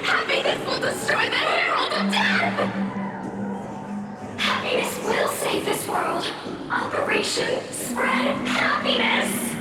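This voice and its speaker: A woman's voice: very high voice, animated, takes it slowly, full character voice. A woman playing an unhinged, zealous cult leader preaching in a fervent, grandiose chant for a video game. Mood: manic zeal. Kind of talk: theatrical video game acting. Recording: very echoey, distant, under loud music.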